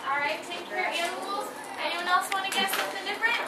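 A woman's voice: extremely high pitch, quick pace. A woman presenting to a class, prompting the listeners and calling for answers. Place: classroom full of children. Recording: noisy, echoey classroom from far off. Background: loud room chatter.

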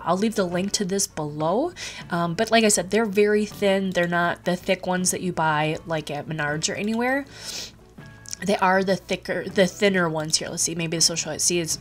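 A woman hosting a craft tutorial, talking casually with lots of ums and restarts, her voice a little stuffy from a cold. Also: fairly low pitch. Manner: chatty, rambling, many ums and restarts. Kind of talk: casual screen-recorded tutorial.

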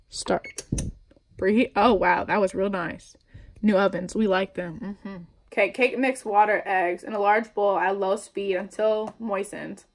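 A young woman vlogging at home, talking casually to the camera with upbeat, slangy chatter while she bakes. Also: medium pitch. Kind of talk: casual baking vlog. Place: indoors at home, kitchen table before a wallpapered wall.

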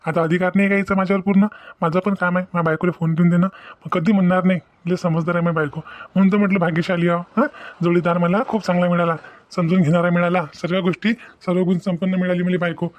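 A man's voice: sits high, flat delivery, fast talker, no room sound, lo-fi sound, lightly acted. A man voicing a devoted, earnest young husband in a cartoon family drama, with a Varhadi Marathi accent. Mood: admiring, musing. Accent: Maharashtrian, Varhadi Marathi. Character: devoted, considerate young husband musing to himself.

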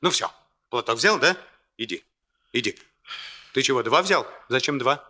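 An actor playing a brisk, streetwise young man in a radio play, commanding, colloquial and repeating his words. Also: mid-range voice, animated, pushed and bright. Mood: gruff concern. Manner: colloquial, rapid repetitions, commanding. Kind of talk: Soviet radio play acting.

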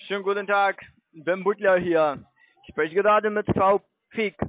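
Casting: male phone agent, high-pitched, sales-call delivery, thin dry phone line. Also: fairly even intonation, measured pace, no room sound.

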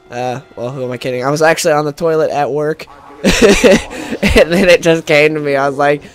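A young man with a stuffed-up nose, chatting casually and rambling excitedly while he plays a game. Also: fairly high pitch. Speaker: gaming YouTuber. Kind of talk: casual gameplay commentary.

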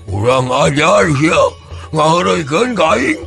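A huge crude ogre, bellows threats in a strained, gravelly voice. The pitch is pushed up and swings widely, in folk-tale style over music. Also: male voice, sing-song, slow, full character voice. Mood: furious. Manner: shouting, threatening, explosive cries. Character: huge furious male ogre giant, boastful and crude.

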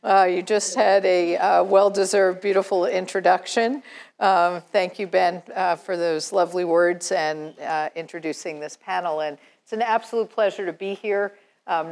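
A middle-aged woman moderating a panel off the cuff, in a measured, pausing manner. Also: fairly low pitch, a little mellow. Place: lecture hall.

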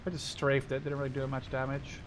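A young man chatting casually and excitably with a teammate as he plays a game, swearing freely. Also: mid-range voice, a little fast, a little hiss or hum. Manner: excitable, profane banter. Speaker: gamer playing in a squad. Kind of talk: casual gaming chat.

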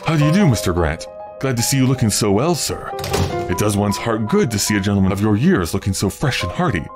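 A man's voice: on the low side, big pitch swings, quick pace, over music. A man voicing a menacing, murderous barber from a Victorian melodrama, barking threats and putting on oily politeness. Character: menacing murderous barber, false jocularity, gruff temper. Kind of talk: Victorian melodrama storybook character reading.

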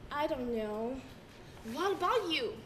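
A woman's voice: high voice, expressive, very slow, a bit bright, a little hiss or hum, poor audio. A young woman acting in a school stage skit in English, with exaggerated sighs and screams. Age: young adult. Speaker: student actor playing Bella. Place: large indoor stage.

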